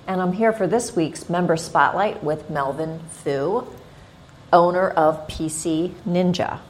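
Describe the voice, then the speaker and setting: Low-voiced woman hosting, noisy background. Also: unhurried. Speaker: interview host, chamber of commerce executive. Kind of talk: scripted intro and conversational interview questions.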